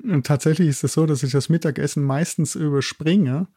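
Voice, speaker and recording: A man's voice: on the high side, a little fast, mellow, slightly rough, dry recording, clean studio sound. A middle-aged man from Germany chatting as a professor guest in a casual interview, thinking aloud as he goes.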